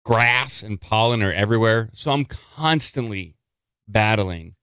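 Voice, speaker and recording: A chatty, rambling host with a lower voice that stays smooth and clear despite a slightly stuffy, nasal sound. The mic picks him up close and dry, with no room sound behind him. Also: male voice, bright, forceful tone, no room sound. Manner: chatty, rambling, quick to gripe. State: congested, a little nasal. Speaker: podcast host. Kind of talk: casual live-stream talk.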